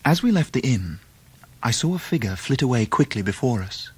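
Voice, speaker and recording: An actor playing a reflective English gentleman who narrates his own past in measured, literary style for a radio drama. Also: medium pitch, sing-song, breathy edge. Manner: measured, literary, well-spoken. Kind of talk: scripted first-person narration in radio drama.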